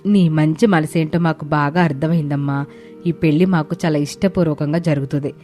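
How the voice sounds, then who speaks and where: A woman voicing a pleased, gracious middle-aged mother-in-law in an animated moral story. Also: deep voice, brisk, voice pushed down, natural voice. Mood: approving. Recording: background music under the voice.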